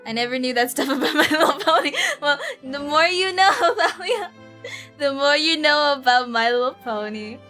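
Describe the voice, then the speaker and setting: A woman livestreaming a game with casual, expressive, giggly chatter, often repeating herself. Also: high-pitched, moderate pace. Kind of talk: casual chatty stream commentary. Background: faint game music.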